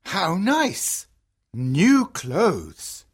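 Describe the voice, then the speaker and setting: A woman plays an earnest, kindly merchant father from a storybook. The voice is very husky and sits on the low side. Every phrase comes slowly and with emphasis, full of expression. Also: huge pitch swings, heavily acted. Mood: grateful, pleased. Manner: deliberate, emphatic storybook delivery. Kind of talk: children's storybook character reading.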